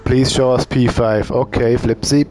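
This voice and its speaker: A man doing casual live commentary on a sim racing event. He thinks out loud as he talks and uses fillers. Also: medium pitch, unhurried, slight background noise. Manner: relaxed, thinking aloud, with fillers.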